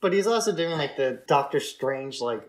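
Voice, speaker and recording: Man, podcast co-host, informal film chat, opinionated, mid-range pitch. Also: animated, moderate pace. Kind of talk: casual film discussion chat.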